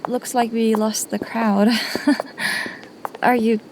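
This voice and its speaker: High-voiced guarded female undercover agent played by an actress. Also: level tone, unhurried, noisy background, lightly acted. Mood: polite, wary. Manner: polite, careful. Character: guarded undercover female inquisitor posing as businesswoman. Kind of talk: fantasy audio drama acting.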